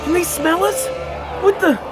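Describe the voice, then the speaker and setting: A man's voice: very high voice, moderate pace, loud music behind the voice, over a noisy crowd. An actor playing a terrified, babbling, whiny man in an audio drama. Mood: frightened awe. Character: panicky, terrified adult farm visitor, a man.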